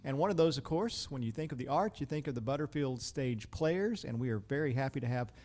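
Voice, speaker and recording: A man hosting a podcast in a conversational interview style, often pausing to rephrase his questions. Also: medium pitch, lively intonation, a little mellow. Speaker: podcast host.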